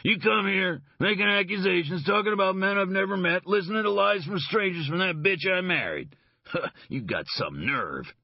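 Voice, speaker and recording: A belligerent middle-aged thug, voiced by a male narrator, snarling and cursing in a husky, raised voice that swoops high and hard. He mocks and threatens. The audio is dull and dry. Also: very animated, unhurried, no room sound, exaggerated voice. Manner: snarling, cursing, mocking laughs.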